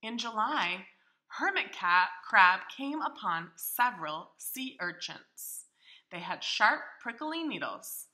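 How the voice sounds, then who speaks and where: A woman reading a picture book aloud to young children in an expressive, deliberate, teacherly way. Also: medium pitch. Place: indoors in a hallway of a home. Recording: echoey room in a house.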